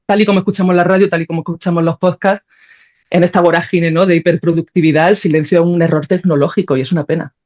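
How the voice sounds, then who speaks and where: A woman's voice: lowish voice, fairly even intonation, fast, a little mellow, dull audio. A woman with an accent from Spain, explaining ideas in a casual, colloquial conversation as a podcast guest, thinking aloud. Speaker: podcast guest, composer and sound creator.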